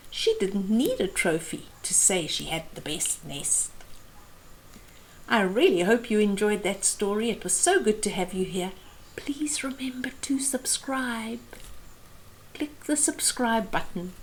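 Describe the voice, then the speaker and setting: A woman in her fifties reading a children's picture book aloud expressively, with deliberate phrasing for young listeners. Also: a little high, low-quality recording. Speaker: storyteller host. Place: small room at home, indoors.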